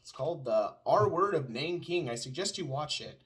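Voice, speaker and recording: A man's voice: on the high side, expressive, moderate pace, fairly bright tone. Sarcastic ranting man with a Southern twang. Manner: sarcastic, ranting, answers his own mock questions. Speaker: podcast co-host. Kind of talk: casual opinionated chat. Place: home.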